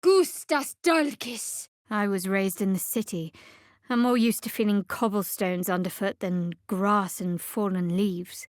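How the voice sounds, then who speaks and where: A woman voicing a guarded, sardonic young cleric of a dark goddess in a fantasy video game, with a dry, wry, measured delivery. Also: medium pitch, no echo, close to an everyday voice. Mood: urgent incanting.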